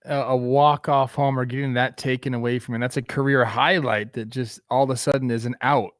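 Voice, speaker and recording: A man's voice: medium pitch, fairly even intonation, brisk, no room sound, studio quality. A man co-hosting a casual sports talk show, speaking in an easygoing, conversational way with filler words. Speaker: co-host.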